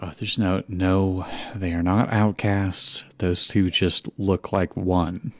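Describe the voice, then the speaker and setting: A man chatting casually as co-host, improvising a recap and pausing to search for words. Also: deep voice, steady tone. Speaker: co-host of a fanfiction reading.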